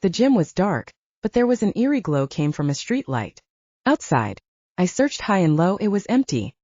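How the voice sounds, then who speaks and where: A woman's voice: on the low side, very animated, normal speed, mellow, no room sound, lo-fi sound. A woman reading first-person fiction as a scripted audiobook narrator.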